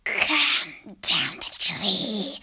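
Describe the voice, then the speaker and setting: A woman voicing a sinister female witch who coaxes a child in a slow, rasping whisper, in a storybook reading. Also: mid-range voice, very animated, pitched below the performer's own voice, heavily acted. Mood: sly coaxing. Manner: slow, coaxing, hushed.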